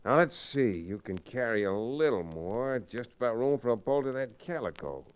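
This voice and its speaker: A man's voice: medium pitch, moderate pace, clean tone, natural voice. A man playing a cool, wry frontier hero with a folksy drawl in an old-time Western radio drama. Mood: wry, taunting. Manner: calm, dryly taunting, folksy.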